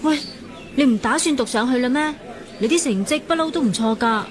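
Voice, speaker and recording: A woman's voice: high voice, fairly quick, lightly acted. A woman acting a young student who teases her friend in a chatty way, in a radio drama. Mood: concerned. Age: young adult. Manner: teasing, chatty. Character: teasing, sensible young female student friend. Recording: crowd chatter behind the voice.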